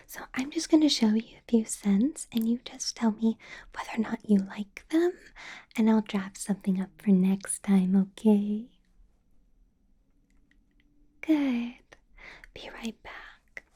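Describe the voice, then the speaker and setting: A possessive, money-minded vampire boss played by a woman murmurs in a breathy whisper, slow and unhurried. The tone is soft and mellow, coaxing and doting, in the close style of an ASMR roleplay. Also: a little high. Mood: coaxing, businesslike.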